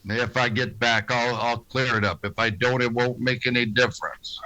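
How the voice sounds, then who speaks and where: An actor playing a stern, weary frontier marshal in a western radio drama, terse, plain-spoken and grave. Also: male voice, mid-range voice, fairly even intonation, normal speed, loud music behind the voice, lightly acted, rough recording. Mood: dry, calm.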